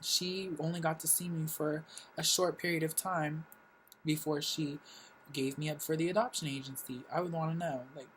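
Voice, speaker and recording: A man's voice: a little high, fairly even intonation, moderate pace, a bit bright, slight background noise, poor audio. A young man born in Korea, telling his life story casually in a reflective vlog, full of fillers. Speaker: vlogger.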